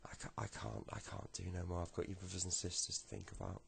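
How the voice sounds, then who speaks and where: A man voicing a worried, worn-out single mother who speaks haltingly and repeats herself in a comic story. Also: low-pitched, sing-song, vocal fry, full character voice. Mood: weary, resigned. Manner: halting, repetitive, pleading. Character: worried, weary working single mother of a schoolboy.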